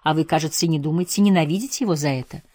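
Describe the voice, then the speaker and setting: A woman narrator voicing a young man who quietly presses an older woman about his absent father. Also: low-pitched, fast talker, no room sound, studio quality, voice pushed down. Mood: probing. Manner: subdued, questioning, hurt.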